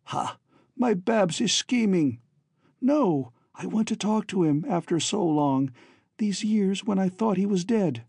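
A man's voice: high-pitched, animated, slow, creaky, dark tone, slightly rough, no room sound, clean studio sound, pitched above the performer's own voice, heavily acted. A man voicing a falsely friendly, scheming hunchback villain in a story, oily and ironic, with stilted foreign-sounding phrasing. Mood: scornful, insistent.

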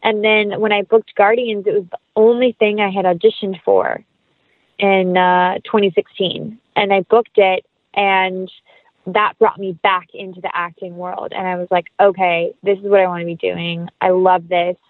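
A woman's voice: mid-range voice, level tone, normal speed, no room sound, phone-quality sound. An American woman speaking effusively and casually as a guest in an interview. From North Carolina, United States. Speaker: guest actress.

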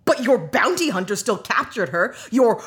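A woman's voice: on the high side, sing-song, moderate pace, fairly bright tone. A woman playing an earnest, indignant, plain-spoken investigator heroine in a sci-fi audio drama. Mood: appalled, confused. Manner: earnest, blunt, outspoken. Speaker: talk-show guest character.

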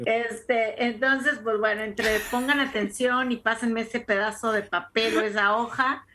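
Mexican lady podcast host, kinda high bright voice, laughs telling her stories. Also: unhurried, a little hiss or hum. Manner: lively, laughing anecdotes. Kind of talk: casual chat.

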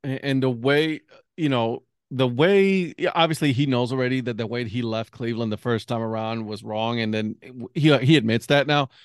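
A man from Puerto Rico hosting a podcast, chatty and quick to laugh, often restarting his sentences. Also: mid-range voice, clear, resonant tone, no room sound, clean studio sound. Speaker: podcast host. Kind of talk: casual podcast interview.

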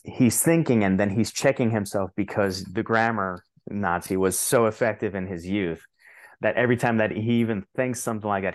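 A man's voice: low voice, no echo. A man from Puerto Rico with a Puerto Rican accent, telling stories animatedly as a guest on a podcast interview. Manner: animated storytelling, frequent restarts. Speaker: guest, translator and author. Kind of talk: conversational interview.

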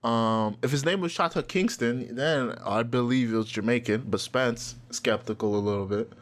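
A man's voice: mid-range voice, moderate pace. A man hosting a podcast in casual chat, rambling with lots of fillers and swearing. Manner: rambling, profane, filler-heavy. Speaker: podcast host.

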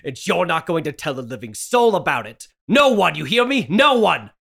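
A man's voice: on the high side, sing-song, moderate pace, creaky, fairly bright tone, exaggerated voice. Man acting a frantic, guilt-ridden melodramatic husband. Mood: angry, desperate. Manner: melodramatic, pleading, overwrought. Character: frantic, guilt-ridden husband doting on his cat-turned wife. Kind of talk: 1930s horror radio play table read.

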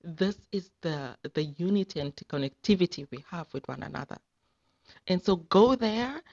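A woman's voice: on the low side, lively intonation, moderate pace, creaky, darkish tone, dry recording. Woman, encouraging group-chat speaker, emphatic and informal; dry, muffled lo-fi sound. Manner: emphatic, repeats words for emphasis. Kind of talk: casual, encouraging talk.

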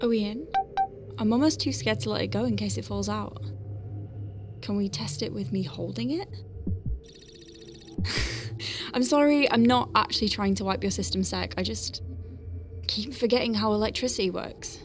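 A woman playing a flustered but awestruck space medic in an audio drama, chatty, nervy and expressive as she talks through her work. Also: mid-range voice, normal speed, long pauses, over music, close to an everyday voice. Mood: focused, wry. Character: young female space medic Faye, flustered but awestruck, working inside star whale. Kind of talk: audio drama acting with first-person narration.

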